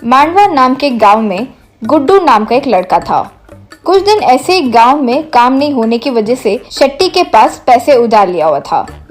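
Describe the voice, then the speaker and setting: A woman narrates a scripted tale in a high-pitched voice. Music plays underneath her storytelling.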